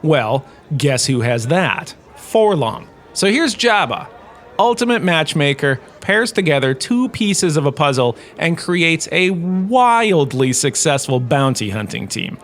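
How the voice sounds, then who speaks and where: A man's voice: a little high, very animated, takes it slowly, a bit bright, heavily acted. A man playing a self-important washed-up lecturer in a space cantina, telling lore with wry, theatrical emphasis in a conversational way. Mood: wry, storytelling. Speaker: host, lecturer. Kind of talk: scripted comedic lore lecture, narration.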